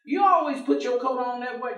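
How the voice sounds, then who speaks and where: An actor in a staged play reading, playing a warm, stubborn, devout working-class man with a folksy, teasing manner. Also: extremely high pitch. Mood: teasing, insistent. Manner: folksy, teasing, with chuckles and drawn-out phrases. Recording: roomy echoing stage, distant microphone.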